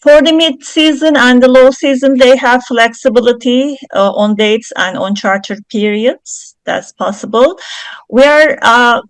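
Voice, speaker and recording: A woman's voice: on the high side, measured pace, no room sound, poor audio. A woman from Turkey, presenting her company's yachts on a video call, speaking carefully with frequent fillers. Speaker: yacht charter company representative.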